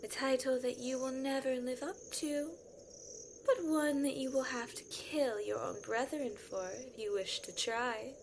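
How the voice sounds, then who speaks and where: A woman's voice: high voice, steady tone, unhurried, noisy background. A woman playing a haughty, bitter, selfish figure in a fantasy audio drama, scornful and imperious.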